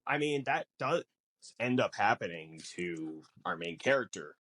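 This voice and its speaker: A man's voice: on the low side, big pitch swings, measured pace, a bit bright, no echo. A man co-hosting a podcast, explaining things casually in an emphatic, rambling way. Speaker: podcast co-host. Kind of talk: casual film discussion podcast.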